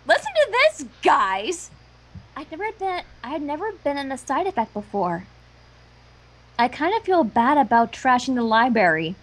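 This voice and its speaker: Animated, slightly high-voiced woman. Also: normal speed, slight background noise. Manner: animated, stumbles over words. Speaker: fan fiction co-reader. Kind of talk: character reading mixed with casual banter.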